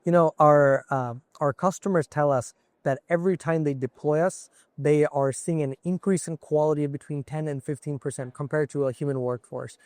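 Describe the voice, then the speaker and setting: A man's voice: a little high, steady tone, moderate pace, few pauses, darkish tone, dry recording. A man from India, a company CEO, answering interview questions in an earnest, explanatory way with examples. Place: conference floor.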